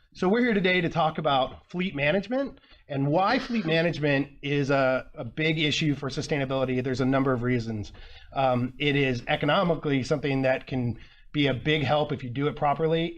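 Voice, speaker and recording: A man's voice: medium pitch, lo-fi sound. A man giving a presentation at a conference, earnest and often restarting phrases with fillers. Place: conference room.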